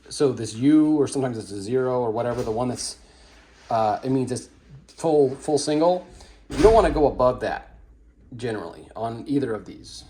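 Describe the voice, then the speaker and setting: A man giving a casual how-to demonstration on audio setup for dance classes, relaxed and rambling with blunt opinions. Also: medium pitch, normal speed, slight background noise. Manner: relaxed, rambling, many fillers and restarts. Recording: echoey room.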